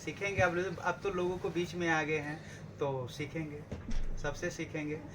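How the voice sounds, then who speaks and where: A man's voice: fairly high pitch, flat delivery, moderate pace. A man, a novice performer, giving plain, short and deferential answers at a press event. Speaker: novice singer-actor. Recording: noisy event room.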